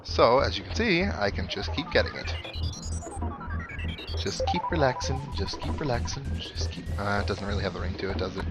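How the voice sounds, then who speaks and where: A man's voice: fairly low pitch, expressive, normal speed. A man giving casual, chatty video game commentary with wry asides as he plays. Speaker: let's play host. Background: video game music.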